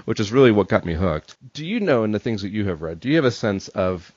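A man's voice: lowish voice, sing-song, fast talker, a little mellow, dry recording. A man hosting a podcast, asking questions in a casual, conversational interview style. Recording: dull, dry lo-fi audio.

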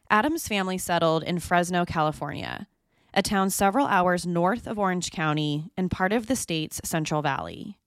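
A woman hosts her own true crime show and narrates each case from a script. Her voice sits a little low, and she keeps a measured, even storytelling pace. The sound is dry and close, with no room around it. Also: dry recording. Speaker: podcast host.

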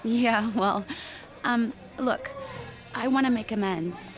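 A woman playing a blunt, gossipy high school girl in an audio drama, halting, conversational and sardonic. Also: over music. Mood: sheepish.

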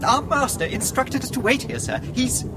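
A prim, nervous robot servant in a radio play. The voice is high and lively, fussily polite and deferential, under loud street ambience. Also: male voice, moderate pace, noisy background. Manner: fussy, formal, deferential. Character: prim, anxious, servile male humanoid protocol droid. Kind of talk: radio drama acting.

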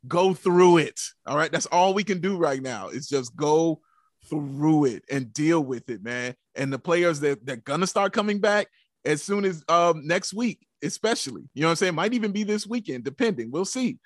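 A high-voiced man talks sports casually as a podcast co-host. He preaches his points with rhetorical questions and pet phrases, and the sound is close and dry. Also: animated, moderate pace, no echo. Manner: emphatic, preachy, rhetorical questions and repeated catchphrases. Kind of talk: casual sports fan commentary.

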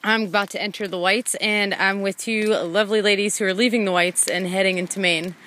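A woman's voice: medium pitch, fairly even intonation, fairly quick, fairly bright tone. Woman interviewer at a hostel, nervous and giggly, faint noise behind her. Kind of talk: casual field interview.

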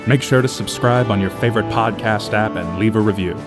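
An actor playing a straight-faced public radio announcer, reading scripted announcements in an orderly, deadpan way. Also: male voice, lowish voice, brisk, lightly acted. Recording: loud music under the voice. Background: loud music.

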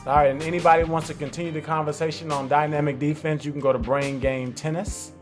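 A man hosting a tennis podcast, talking in a relaxed, conversational way. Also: fairly high pitch, quick pace, over music. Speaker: podcast host. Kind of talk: podcast interview.

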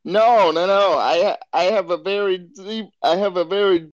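A man's voice: high-pitched, a little slow, dry recording. A man voicing a bodybuilder woman on a prank call, stumbling and repeating words, over dull lo-fi audio. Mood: defensive, offended. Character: woman named Sandra, a bodybuilder with a deep voice, prank caller.

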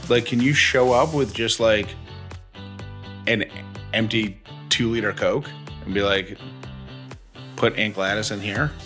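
A man's voice: on the low side, fairly even intonation, unhurried, long pauses, sharp tone, faint music behind. A man chatting casually as a podcast co-host, with a wry, joking way of talking. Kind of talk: casual chat.

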